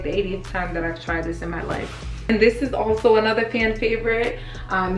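A young woman in her twenties chatting playfully to the camera in a casual grocery haul vlog, filmed in a small home kitchen on the camera's own mic. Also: medium pitch. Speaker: vlogger. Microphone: camera mic at close range. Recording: echoey kitchen with faint music.

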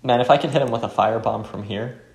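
A man's voice: mid-range voice, fast, vocal fry, a little mellow, a little hiss or hum. A man giving casual playthrough chat on a game he made, in a jokey, self-deprecating way. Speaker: game developer playing own game. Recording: roomy echo, faint music behind.

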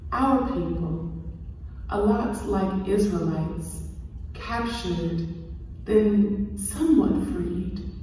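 A woman poet reciting spoken word with deliberate short phrases and weighted pauses, heard over theater speakers from the audience. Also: medium pitch, mellow, slight background noise. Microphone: phone in the audience, far from the speakers. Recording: echoey theater screening recorded from far off.

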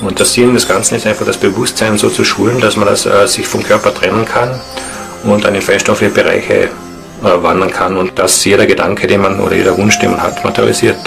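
A man explaining things spontaneously in an interview, halting and earnest. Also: on the low side, steady tone, vocal fry, music in the background. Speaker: interviewee, esoteric practitioner.

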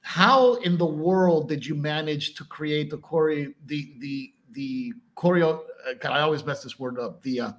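A man's voice: on the high side, unhurried, hardly any pauses. A man hosting a podcast over a video call, asking eager, enthusiastic questions. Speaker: podcast host. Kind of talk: casual video call interview.

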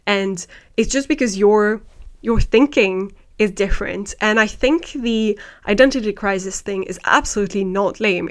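A young woman whose first language is not English, talking animatedly and thoughtfully into a close desk microphone in a home room. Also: medium pitch. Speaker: vlogger. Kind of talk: casual chat, reading out viewer answers. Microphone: desk microphone close to the mouth.

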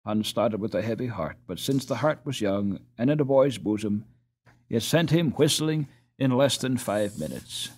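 A man narrating a storybook as an audiobook reader, in an expressive scripted style. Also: mid-range voice, normal speed.

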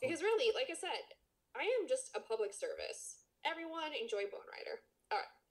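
Woman, high voice, very lively intonation, podcast book reading, casual chat, playful, mock-dramatic. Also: fairly quick, poor audio. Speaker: podcast co-host reading aloud.

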